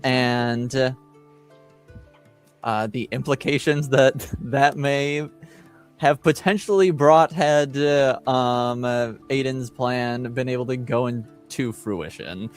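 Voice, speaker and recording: A man acting as game master, giving a casual recap of a tabletop game session in a halting way with frequent mid-sentence pauses. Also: mid-range voice. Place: remote online stream call. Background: music playing underneath.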